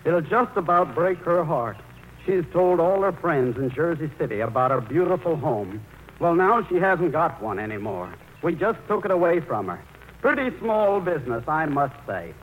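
Tinny old radio, sulky high-voiced grown son. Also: male voice, very animated, a little hiss or hum. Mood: aggrieved, brooding. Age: 30s. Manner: halting, aggrieved, brooding. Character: sulky, indecisive 38-year-old son living at home. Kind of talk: 1940s radio play acting.

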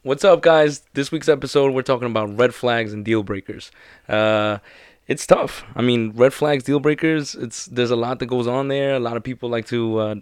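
A man's voice: mid-range voice, normal speed, smooth, no echo. A man introducing a casual podcast episode, rambling and joking with many fillers. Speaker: podcast co-host.

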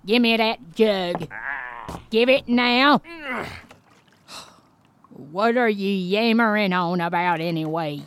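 A woman's voice: mid-range voice, slow pace, pauses often, slight background noise, close to an everyday voice. A woman doing a bossy hillbilly wife. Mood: scolding. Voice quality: rural drawl. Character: bossy backwoods mountain wife, scolding.

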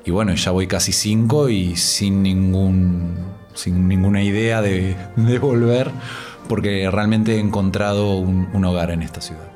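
Low-voiced Argentine man, halting and thoughtful. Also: fairly even intonation, music in the background. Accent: Argentine Rioplatense Spanish. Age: 30s. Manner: thoughtful, halting, repeats words as he searches for them. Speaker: street poet, interviewee. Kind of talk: reflective personal interview.

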